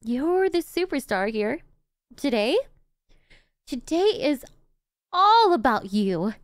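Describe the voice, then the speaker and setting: A woman's voice: high-pitched, big pitch swings, takes it slowly, no room sound, clean studio sound, exaggerated voice. A woman playing a gleeful, cocky yet doting female supervillain in an ASMR roleplay, with a theatrical, teasing, chatty manner. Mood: adoring, excited.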